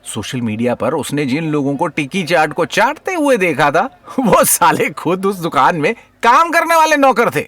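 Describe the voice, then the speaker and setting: Animated high-pitched male story narrator. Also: moderate pace, few pauses, dry recording. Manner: playful, teasing storyteller. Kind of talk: dramatic comic audio story narration.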